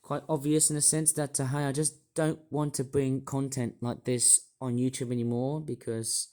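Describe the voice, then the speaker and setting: A man talks straight to his channel in a croaky, hoarse voice that sits at an ordinary middle pitch. He rambles and repeats himself, circling back with hesitant restarts as he works through his story. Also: level tone, moderate pace, warm tone. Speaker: YouTuber. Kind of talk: rambling personal vlog monologue.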